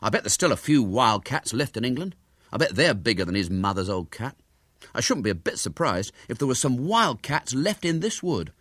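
A man's voice: medium pitch, very animated, moderate pace, a bit bright, dry recording, studio quality, pitched above the performer's own voice. A man voicing a stubborn, earnest schoolboy in a storybook, boastful and given to bluffing. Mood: hopeful. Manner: careless bluffing, mysterious, indignant.

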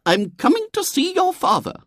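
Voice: very high voice, huge pitch swings, normal speed, dry recording, studio quality, voice pushed up, exaggerated voice. A storyteller voicing a prim elderly lady in an audiobook, earnest, preachy and fussily sympathetic. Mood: determined.

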